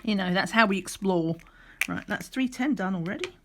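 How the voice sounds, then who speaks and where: A middle-aged woman, slightly croaky, chatting in a rambling way while doing a craft, sometimes counting under her breath. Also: medium pitch, expressive, normal speed, background noise. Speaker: crafting vlogger. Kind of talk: relaxed chatty craft vlog. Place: at a craft table indoors.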